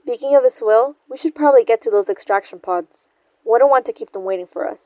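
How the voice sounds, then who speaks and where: An actress playing a confident, dutiful psionic agent and sister in a sci-fi audio drama, composed and assured. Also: female voice, on the high side, fast, no echo, highs cut off, natural voice.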